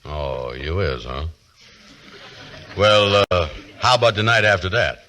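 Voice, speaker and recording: A man acting a slow-witted, boastful, lovestruck fellow with folksy, ungrammatical speech in 1940s radio comedy. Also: sits low, lively intonation, bright, forceful tone, slight background noise, full character voice. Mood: hopeful, deflated. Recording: 1940s radio broadcast recording.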